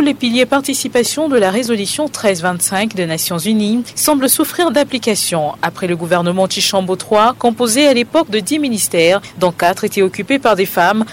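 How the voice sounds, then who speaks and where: High-voiced man reading a news report. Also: a little hiss or hum. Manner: formal, measured delivery.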